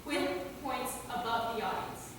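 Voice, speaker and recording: A woman's voice: fairly high pitch, a little slow. A woman narrator reading scripted stage directions at a staged reading. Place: theater stage, recorded from a distance. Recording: very echoey hall, far mic, noisy.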